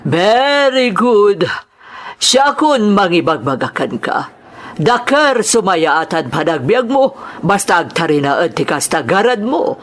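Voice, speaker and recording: A woman's voice: mid-range voice, very animated, slow. A woman playing an approving, businesslike bar madam in an audio drama.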